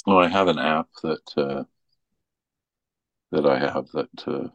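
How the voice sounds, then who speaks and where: A middle-aged man with a strikingly low voice talks in a loose, folksy way. He rambles casually as a presenter in informal chat. The sound is dry, with little room to it. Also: slow pace, dry recording, lo-fi sound. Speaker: radio show presenter.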